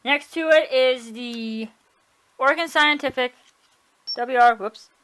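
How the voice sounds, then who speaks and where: A woman's voice: high-pitched, slow pace, a bit bright, poor audio. A woman casually showing off her collection at home, chatty and offhand, often correcting herself and shrugging things off. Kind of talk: casual home video tour. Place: room at home.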